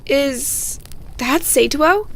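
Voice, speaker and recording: A woman's voice: high voice, expressive, drawn-out words, vocal fry, heavily acted. A woman voicing a small, anxious young creature in an audio drama. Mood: curious. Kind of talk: audio drama character acting.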